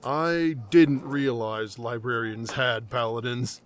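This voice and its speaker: A grumpy, skeptical adventurer, played by a man in a fantasy audio drama. He talks slowly at a middling pitch, blunt and grudging. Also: fairly even intonation, lightly acted.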